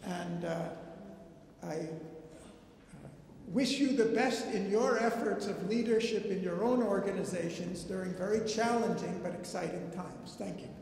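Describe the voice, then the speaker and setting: A man's voice: high-pitched, measured pace, vocal fry, a little mellow. A man giving the polite, hesitant closing remarks of his conference talk. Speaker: conference speaker. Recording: echoey hall, faint noise.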